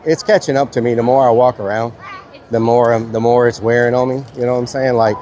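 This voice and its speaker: A man's voice: medium pitch, fairly even intonation, quick pace, darkish tone, slight background noise. A congested man chatting casually to his live-stream viewers as he walks around a busy comic convention, carrying a handheld streaming camera. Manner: rambling, chatty, talks to viewers. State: congested, stuffy-nosed.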